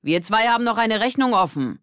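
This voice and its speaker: Narrator putting on a loud, taunting teenage boy. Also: mid-range voice, animated, a little fast, dry recording, studio quality, voice pushed up, natural voice. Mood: threatening. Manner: bellowing, taunting. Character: mocking teenage boy of a prank gang.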